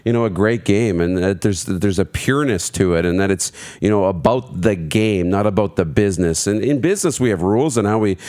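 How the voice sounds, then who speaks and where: Guy on a sports talk panel, lowish voice, earnest, explains stuff and checks you agree. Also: brisk, few pauses, darkish tone. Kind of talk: casual discussion.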